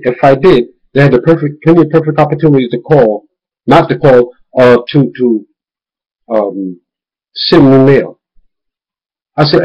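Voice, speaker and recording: A man's voice: mid-range voice, darkish tone, dull audio. A man speaking informally to viewers in an emphatic way, warning and retelling, repeating words for stress. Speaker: video blogger warning viewers about a phone scam. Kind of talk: informal spoken warning with retold phone conversations.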